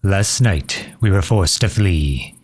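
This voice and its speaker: Man voicing a very deep, slow, solemn vampire lord. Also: lively intonation, darkish tone, slightly rough, heavily acted. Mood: weary, grave. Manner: formal, measured, grave. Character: centuries-old male vampire leader, solemn, dignified refugee. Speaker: audio roleplay performer. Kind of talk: scripted ASMR roleplay monologue.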